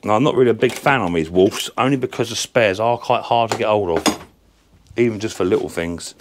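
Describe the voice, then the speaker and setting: A man hosting a casual lawnmower repair vlog from his workshop, his voice croaky from a sore throat, chatty and rambling with lots of ums. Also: fairly low pitch, a little fast, noisy background. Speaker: lawnmower repair channel host.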